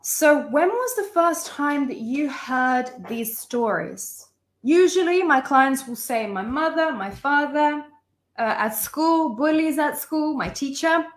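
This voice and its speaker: A woman's voice: sits high, expressive, slow pace. A young adult woman from Lithuania, a relationship coach giving a live online masterclass, talking with emphatic, impassioned repetition and calling listeners her loves. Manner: emphatic, impassioned delivery with lists of repeated phrases; addresses listeners warmly as sisters and loves.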